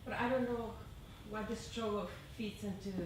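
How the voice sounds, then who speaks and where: A woman taking part in a meditation group, sharing personal experience in a hesitant, halting way. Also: mid-range voice, steady tone. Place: group meditation room, far from microphone. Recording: echoey, distant microphone, noisy background.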